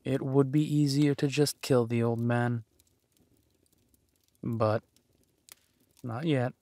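A man playing a ruthless, haughty duke in a villain audio drama, drawling deliberately and with menace. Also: mid-range voice, dry recording, lightly acted. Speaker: voice actor.

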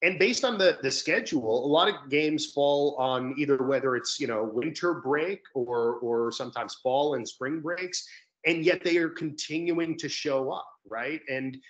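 A man's voice: fairly high pitch, measured pace, lo-fi sound. A young man, a sports broadcaster, answering conversationally and earnestly as a guest on a video call. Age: young adult. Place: indoor room at home, video call. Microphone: video call, close to webcam.

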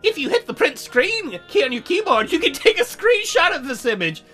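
A very high, bright woman's take on a young demon girl from a visual novel game. She is cutesy and polite as she makes her sales pitch, with a sulky, lonely edge. Music plays behind her. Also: big pitch swings, normal speed. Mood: cheerful. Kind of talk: visual novel character read aloud.

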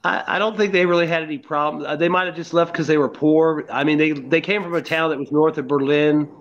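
A man's voice: a little high, fairly even intonation, fairly quick. Laid-back american guy on a call, muffled sound. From United States. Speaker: panelist. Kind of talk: casual video call chat. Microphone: video call.

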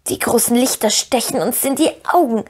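A woman voicing a wretched, scheming little male cave creature from a fantasy story, with a hoarse, whispery croak and a cringing, drawn-out whine. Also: fairly high pitch, darkish tone, a little hiss or hum, close to an everyday voice. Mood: whining, wary. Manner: whining, drawn-out, pleading.

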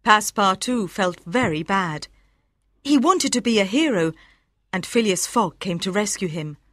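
A woman reading a scripted storybook narration in a clearly enunciated, expressive way. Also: medium pitch, measured pace, dry recording, clean studio sound. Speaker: audiobook narrator.

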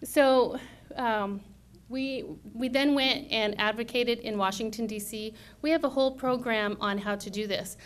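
Brief: woman, 30s, conference speaker, soft voice, persuasive and lively, podium mic in a meeting room. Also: fairly high pitch, measured pace. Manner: energetic, persuasive, rhetorical questions. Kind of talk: conference presentation. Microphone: podium microphone.

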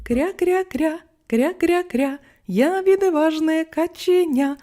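A woman's voice: very high-pitched, takes it slowly, warm tone, soft background music, voice pushed up. A woman voicing a boastful, quarrelsome little male duckling in a children's storybook tale. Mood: boastful singing. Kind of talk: storybook character voice.

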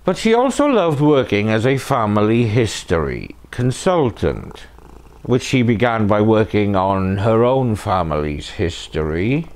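Slow, deep-voiced male narrator with lively swings. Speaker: true crime show host. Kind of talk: scripted narration. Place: living room sofa, indoors.

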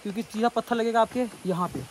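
A man in his twenties from India giving excitable, casual vlog commentary outdoors to viewers and friends, recorded on a phone at arm's length. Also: high voice, fast talker, slight background noise, rough recording. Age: 20s. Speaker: vlogger. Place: outdoors on a rocky hillside and waterfall.

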